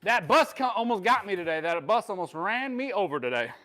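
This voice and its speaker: A fitness coach talks to his viewers between sets of a live workout, full of jokes and pumped-up encouragement. His voice sits high for a man. A bit of music plays quietly under him. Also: very animated, a little fast. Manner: hyped, joking, bro-style coaching talk.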